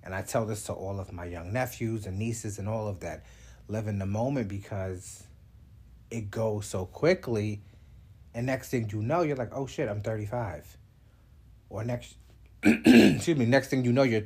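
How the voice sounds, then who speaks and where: Male podcast host, mid-thirties, lowish husky voice, easygoing solo talk. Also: expressive, moderate pace, a little mellow. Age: 30s. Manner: chatty, reflective, laughs between thoughts. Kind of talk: casual solo podcast monologue.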